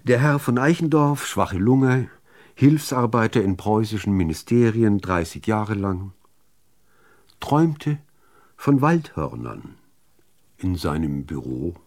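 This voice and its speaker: Slow, expressive man reciting poetry. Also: lowish voice. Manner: measured, deliberate phrasing with expressive emphasis. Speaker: reader of poems.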